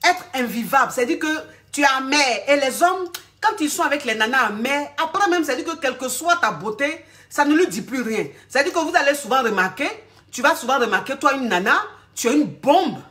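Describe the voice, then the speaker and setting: Animated woman coach with a cold. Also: high-pitched, fairly quick. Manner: animated, repetitive, calls the listener "ma chérie". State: has a cold. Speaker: relationship coach. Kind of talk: casual advice vlog talk.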